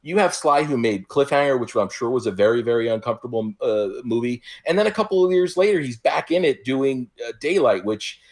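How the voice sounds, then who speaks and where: A man in his forties co-hosting a casual podcast, explaining his points in a measured, thoughtful way. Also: medium pitch, lively intonation. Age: 40s. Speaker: podcast co-host.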